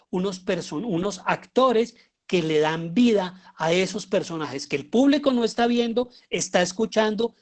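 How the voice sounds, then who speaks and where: A man with a Colombian accent giving an explanatory lecture as a teacher, often pausing and posing rhetorical questions. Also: sits high. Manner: halting, with pauses and rhetorical questions. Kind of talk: explanatory lecture on writing audio fiction.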